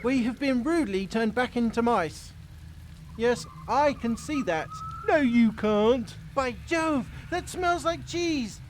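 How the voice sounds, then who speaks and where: An actor voicing a pompous, indignant little talking mouse in a video game. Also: male voice, very high-pitched, lively intonation, takes it slowly, heavy background noise, exaggerated voice. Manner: pompous, old-fashioned exclamations.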